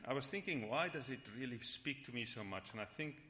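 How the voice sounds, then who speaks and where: A man whose first language is Afrikaans leads a church Bible study and prayer, speaking haltingly with frequent restarts. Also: mid-range voice, lively intonation, pushed and bright. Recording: long hall reverb.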